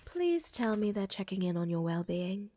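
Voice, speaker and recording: A woman playing a flirtatious, doting aristocratic vampire countess in an intimate ASMR audio roleplay, with a soft, breathy murmur and elegant, teasing, soothing phrasing. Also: medium pitch, very animated, moderate pace, background noise, close to an everyday voice. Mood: teasing, affectionate.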